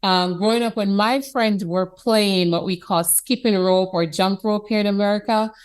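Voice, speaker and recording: A woman from Jamaica with a Jamaican accent, an institute president telling childhood stories in an expressive, animated way during an interview. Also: mid-range voice, normal speed, clean tone. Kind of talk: conversational interview.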